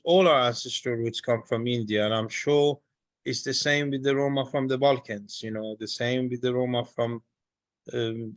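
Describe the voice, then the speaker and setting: A man from Iran speaks up during an online seminar, friendly and emphatic, pausing with fillers. His voice has a middle pitch. The sound is dry and close, with no room echo. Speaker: seminar participant. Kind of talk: spontaneous comment.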